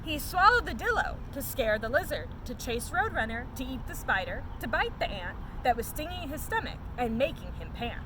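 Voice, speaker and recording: A woman reading a children's picture book aloud as a story time host, in an expressive, sing-song storytelling manner. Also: sits high, normal speed, few pauses, noisy background.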